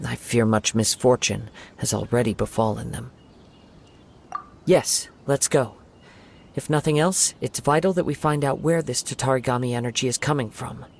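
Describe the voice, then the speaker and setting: An actor playing a calm, thoughtful young wandering swordsman in a video game, with measured, formal phrasing. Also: medium pitch, vocal fry, a little hiss or hum, natural voice. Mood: calm, observant.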